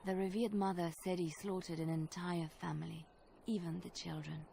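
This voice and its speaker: An actress playing a devout, gentle young believer in a fantasy game, with an earnest, pleading way of talking. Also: female voice, fairly low pitch, flat delivery, normal speed, creaky, a little hiss or hum. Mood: sincere, compassionate.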